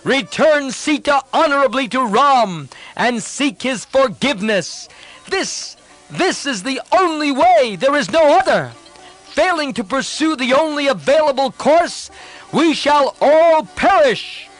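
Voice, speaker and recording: A man's voice: extremely high pitch, lively intonation, a little slow, fairly bright tone, soft background music, pitched above the performer's own voice, heavily acted, poor audio. A man voicing a fearless, righteous older counselor who pleads earnestly with his king. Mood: pleading.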